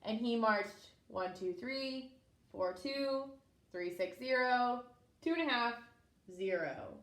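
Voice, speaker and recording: A woman preschool teacher reading a story aloud to young children, expressively, pausing to explain and ask simple questions. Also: on the high side, lively intonation, a bit bright. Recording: echoey room.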